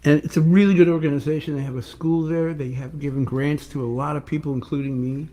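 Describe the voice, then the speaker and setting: An older male singer-songwriter with a rough voice, chatting casually and rambling a little between songs. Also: on the high side, level tone, fast talker. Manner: rambling, warm-hearted, restarts often. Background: guitar.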